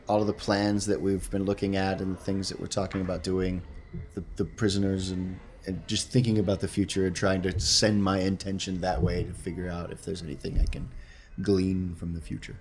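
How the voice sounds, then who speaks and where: Low, halting male tabletop player. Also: little pitch movement, darkish tone, a little hiss or hum. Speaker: player in a tabletop role-playing game. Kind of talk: casual game-table talk, thinking aloud.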